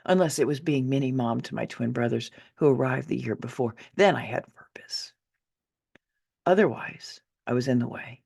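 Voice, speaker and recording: Female author-narrator, fifties, low register, theatrical and emphatic first-person storytelling. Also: moderate pace, gravelly, a little mellow, slightly rough. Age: 50s. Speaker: author reading her own memoir.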